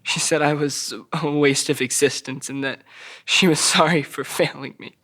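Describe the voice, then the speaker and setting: An actor playing a troubled, childlike young man recounting abuse in a halting, stammering way at a table read of an audio drama. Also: a little high, steady tone, bright, forceful tone. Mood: frightened, haunted.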